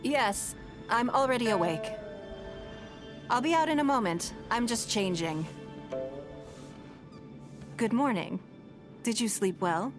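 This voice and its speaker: A woman's voice: a little high, animated, slow pace, long pauses, over music. Poised, gracious noblewoman. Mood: composed, gracious. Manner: composed, formal, courteous. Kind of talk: scripted video game dialogue.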